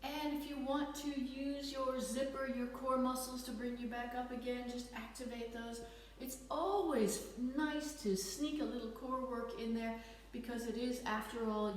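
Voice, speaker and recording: A woman with a slight Dutch accent guides a stretching class, her cues gentle and encouraging. Her voice sits a little high. It rings through a very echoey room, picked up from a distance with faint ambience behind. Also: a little hiss or hum. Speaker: fitness instructor.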